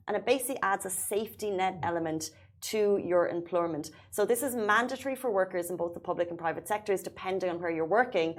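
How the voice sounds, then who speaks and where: Woman, Irish accent, show host, quick pace, mid-pitched voice, upbeat chatty presenting. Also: a little mellow. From Ireland. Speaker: podcast and radio show host.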